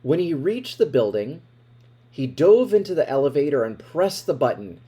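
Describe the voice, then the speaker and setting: A man reading a children's picture book aloud in an expressive way, with dramatic emphasis. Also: fairly high pitch, normal speed, husky. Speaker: storybook reader.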